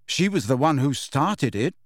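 A man's voice: medium pitch, very animated, a little slow, dry recording, clean studio sound. Man playing an awkward, hesitant teenage boy. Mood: defensive.

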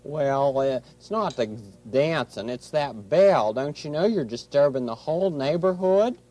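Bothered owl puppet for kids tv, high and up and down, folksy, kinda pushy. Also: male voice, lively intonation, measured pace, warm tone. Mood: annoyed. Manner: folksy, hesitant, insistent. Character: fussy, easily bothered male owl puppet, earnest and persuasive. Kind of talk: children's television puppet play.

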